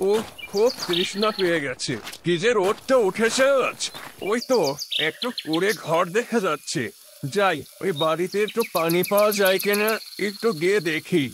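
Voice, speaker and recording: A man's voice: high voice, normal speed, noisy background, natural voice. A man voicing a fussy middle-aged father travelling on foot in a cartoon, muttering to himself. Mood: thirsty, weary. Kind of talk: dramatic cartoon dubbing.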